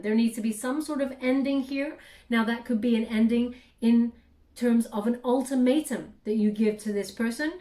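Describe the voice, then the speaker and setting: A woman gives a tarot reading in a gentle, hesitant way, with lots of fillers as she talks the listener through the cards. Her voice sits a little high but has a mellow, darker tone, and it is getting hoarse. She is set back from the mic in an echoey room. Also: distant mic. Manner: soft, hesitant, many fillers, addresses listener warmly. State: losing her voice, clearing throat. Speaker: tarot reader.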